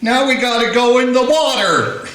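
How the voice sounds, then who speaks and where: A man playing a timid, complaining frontier sidekick in a community radio play, fussing in a slow drawl. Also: very high voice, a bit bright. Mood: fretful. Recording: echoey room.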